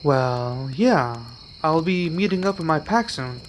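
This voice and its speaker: A man acting a young, gentle, protective werewolf in an ASMR roleplay, speaking softly and earnestly with halting pauses. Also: fairly high pitch, lively intonation, slight background noise. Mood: calm, hesitant.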